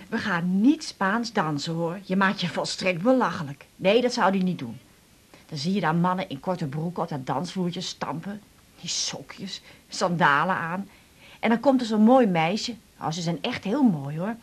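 A woman's voice: mid-range voice, slightly rough. An actress playing a hurt, chatty middle-aged mother confiding in a colloquial, rambling way, in a naturalistic radio drama. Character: hurt, chatty mother back from a failed holiday.

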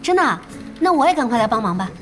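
A woman's voice: fairly high pitch, big pitch swings, quick pace. An actress playing an eager, naive young female gamer in a Chinese audio drama, curious and earnest. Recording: music behind the voice.

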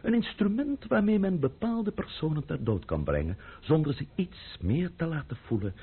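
Sneering revolutionary official, wildly swinging pitch. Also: male voice, big pitch swings, slightly rough, full character voice. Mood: cold threat. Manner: sneering, mock-polite, drawn-out, bursting into shouts. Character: sarcastic, menacing French revolutionary official berating a subordinate. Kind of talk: radio drama acting. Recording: muffled old radio play recording.

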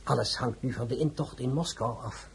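An actor playing a courteous, insinuating gentleman of political intrigue in a period radio drama, formal and deferential, with a husky voice. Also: mid-range voice, normal speed. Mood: suave, knowing.

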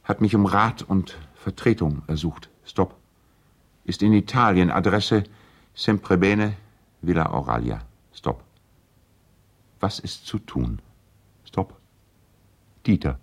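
An actor playing a courteous, earnest lawyer and family friend, reading out letters in formal, measured diction in a radio play. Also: male voice, low-pitched, animated, exaggerated voice. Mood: urgent, concerned.

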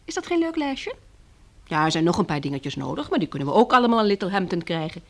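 Een vrouw die in een hoorspel een speelse, zacht vasthoudende echtgenote speelt, op een lichte, plagende toon. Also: female voice, lowish voice, sing-song, fast, fairly bright tone, exaggerated voice. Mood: playful. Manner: light, teasing. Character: playful, gently determined wife of a weary survivor. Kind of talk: radio drama acting.

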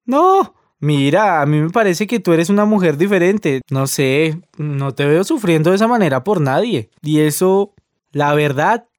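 Man playing a high-voiced, easygoing Colombian flirt. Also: lively intonation, measured pace, no echo, clean studio sound. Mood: soft, persuading. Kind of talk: radio soap opera acting, colloquial.

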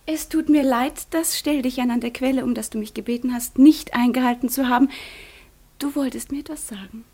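Haughty hurt young noble lady, high breathy voice, teasing then cutting, radio play style. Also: steady tone, fairly quick, dark tone. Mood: teasing, coquettish. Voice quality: breathy, often dropping to a whisper. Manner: theatrical, teasing, accusing. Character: proud, sharp-tongued, wounded young noblewoman back from convent. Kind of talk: German stage-classic radio drama acting.

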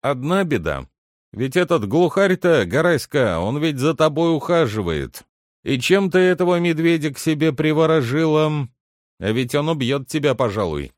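A man's voice: fairly high pitch, very animated, normal speed, no echo, studio quality, voice pushed up. A man voicing an impatient, condescending head butler in a storybook, brusque and commanding as he questions the servants. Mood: probing. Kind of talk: dramatic literary audiobook character reading.

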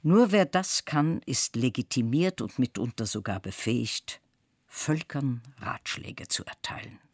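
A reader giving a slow, measured literary reading with dramatic pauses. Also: medium pitch, lively intonation, no echo, clean studio sound.

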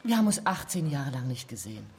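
An actress playing a world-weary middle-aged journalist in a radio drama, dry and reluctant. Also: female voice, extremely low pitch, big pitch swings, fast, darkish tone, a little hiss or hum, heavily acted. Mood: wary, reluctant.

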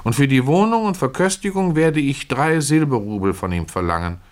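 A man's voice: medium pitch, animated, fairly quick, voice pushed up, natural voice. A man voicing a blunt, stubborn female cook and housekeeper who speaks insistently in a literary story. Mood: eager, emphatic. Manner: insistent, emphatic.

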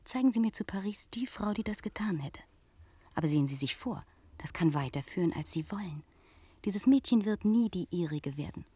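A woman's voice: medium pitch, animated, moderate pace, dark tone, slightly rough, no room sound. An actress in a German radio play voicing a scheming, worldly noblewoman with a theatrical, insinuating manner. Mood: coolly manipulative. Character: scheming aristocratic French lady, cool, worldly, feigning friendship. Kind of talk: German radio drama acting.

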